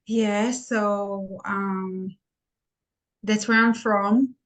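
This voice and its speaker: A woman's voice: mid-range voice, steady tone, drawn-out words. A middle-aged woman from Israel with an Israeli accent, chatting candidly and casually as an interview guest. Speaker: podcast guest, nurse practitioner.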